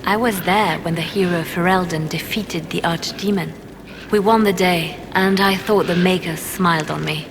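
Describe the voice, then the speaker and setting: An actress plays a devout female spymaster in a video game, grieving but composed. Her voice sits fairly low and her words are measured and earnest. It rings with long reverb over clear noise. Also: fairly even intonation, natural voice. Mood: sorrowful, earnest.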